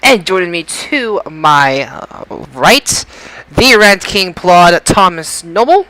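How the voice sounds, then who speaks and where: A man's voice: high-pitched, expressive, slow pace, bright, forceful tone, smooth, dry recording, rough recording. A man hosting a casual podcast, announcing like a showman and stumbling over his words at times. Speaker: podcast host. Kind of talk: casual podcast show intro and chat.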